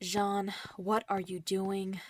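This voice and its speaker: Woman acting a slow, exasperated female recruit. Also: mid-range voice, flat delivery, very noisy, lightly acted. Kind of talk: dramatic fan audio drama acting.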